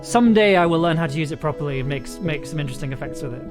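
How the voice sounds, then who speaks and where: Casual livestreaming creator, mid-pitched, musing and hesitant delivery, prominent music underneath. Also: expressive, rapid-fire. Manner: hesitant, thinking aloud, trailing off. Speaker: streamer, audio drama producer and composer. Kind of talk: casual livestream chat while working. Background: music playing, keyboard typing.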